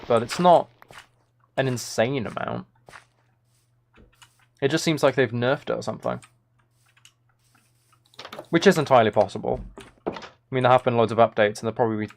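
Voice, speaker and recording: A man's voice: medium pitch, vocal fry. A man livestreaming a game with casual commentary, muttering to himself in a mildly exasperated way. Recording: keyboard typing and clicking noise. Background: keyboard and mouse clicks.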